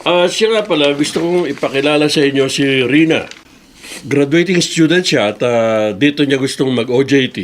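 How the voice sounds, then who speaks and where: A man with a Filipino accent playing a brisk, dismissive office boss in a radio drama. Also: fairly high pitch, slight background noise, lightly acted. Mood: businesslike.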